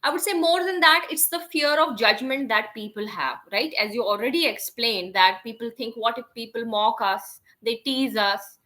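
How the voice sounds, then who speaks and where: A woman's voice: fairly high pitch, normal speed, hardly any pauses, pushed and bright. A woman with an Indian English accent, an English teacher, answering interview questions in an explanatory way and often checking in with "right?".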